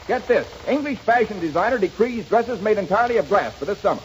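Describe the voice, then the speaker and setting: Fast-talking, high-pitched reporter. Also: male voice, lively intonation. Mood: brisk, urgent. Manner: urgent, snappy. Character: brash, fast-talking male newspaper gossip reporter. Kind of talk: 1930s radio comedy acting. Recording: dull, hissy 1930s radio recording.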